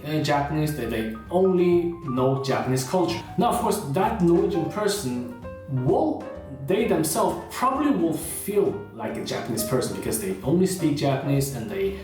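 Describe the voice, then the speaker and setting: A man's voice: a little high, darkish tone. Guy vlogging, lots of "you know"s, voice bouncing around a big echoey room, bit far from the mic. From China. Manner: conversational, many fillers like "you know" and "uh". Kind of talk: casual talk to camera. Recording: roomy echo, heard from a distance, with faint guitar music.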